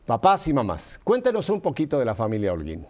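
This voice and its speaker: A man from Mexico hosting a religious talk show, interviewing guests in an expansive, animated way with playful asides. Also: medium pitch, fairly quick. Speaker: host of a faith talk show.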